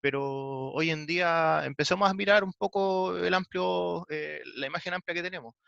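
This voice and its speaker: A Chilean man with a high, bright, forceful voice speaks on a video call, restarting phrases and hunting for words. The audio is dull and lo-fi, and the sound is dry, with no room around it. He is taking part in a panel discussion. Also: gravelly, no room sound. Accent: Chilean Spanish. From southern Chile. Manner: halting, with many restarts and filler sounds. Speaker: discussion participant.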